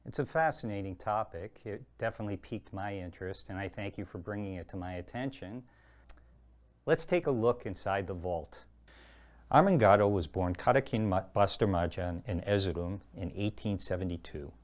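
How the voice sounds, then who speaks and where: A man with a very deep voice hosts a history program. He speaks in a measured, formal manner, reading his introductions and asking his guest questions. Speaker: host. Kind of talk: scripted presentation and interview.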